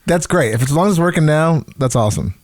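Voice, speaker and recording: A man's voice: a little high, animated, quick pace, no room sound, studio quality. A man co-hosting a podcast, laid-back and wry, with many fillers. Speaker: podcast co-host. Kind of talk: casual chat.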